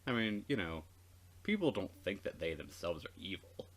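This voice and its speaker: A man with a low voice and lively, swinging intonation co-hosts a let's play. He banters casually and reads game text aloud with a dry, wry delivery. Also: moderate pace.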